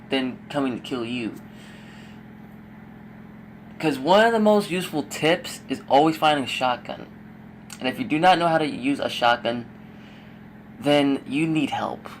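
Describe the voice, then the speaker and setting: Rambling young man, voice a bit hoarse. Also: mid-range voice, a little hiss or hum. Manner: rambling, with frequent fillers and swearing. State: losing his voice, a bit hoarse. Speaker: gaming YouTuber giving tips. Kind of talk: casual gameplay commentary voice-over.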